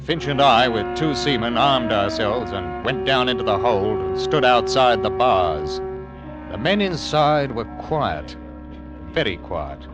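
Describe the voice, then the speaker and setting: A grave, stern sea captain from a vintage radio drama, played by a man. He speaks in terse, uneasy phrases with lively swings of pitch, music behind him. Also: a little slow, lo-fi sound, natural voice. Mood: grave, haunted. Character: uneasy, stern sea captain narrating his grim tale. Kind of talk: old-time radio dramatic narration and dialogue.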